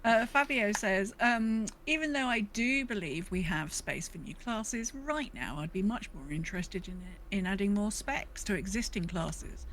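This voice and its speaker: A woman's voice: medium pitch, animated, a little slow, few pauses, a bit bright, slight background noise, low-quality recording. A woman co-hosting a podcast, chatting casually and reading out listener comments. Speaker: podcast co-host.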